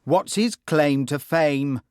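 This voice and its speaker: A man playing a nosy, sceptical questioner at a mock awards show, asking short, deliberate questions. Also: a little high, a little mellow, clean tone, dry recording, studio quality.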